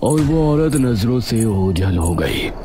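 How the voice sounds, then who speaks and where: A man's voice: medium pitch, very animated, slow pace, noisy background. A man narrating a scripted dramatic village story. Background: background music.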